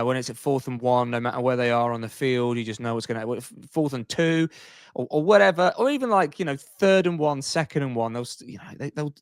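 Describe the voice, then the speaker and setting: A man's voice: mid-range voice, clear, resonant tone, dry recording, clean studio sound. A man co-hosting a podcast, casually debating sport and repeating himself to make his point. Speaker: podcast co-host.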